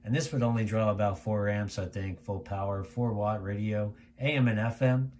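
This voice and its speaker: A low-pitched American man talks through a casual product review with steady noise behind him. He is conversational and unscripted, wandering a bit and circling back over his points. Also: moderate pace. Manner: conversational, rambling, repeats himself. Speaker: radio reviewer. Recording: clear steady background noise.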